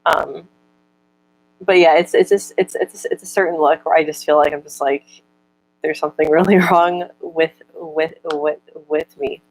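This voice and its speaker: A woman's voice: sits low, fairly even intonation, low-quality recording. A young woman in casual chat, stuttering often with repeated words and broken-off syllables as she answers viewer comments. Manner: reads out viewer comments slower.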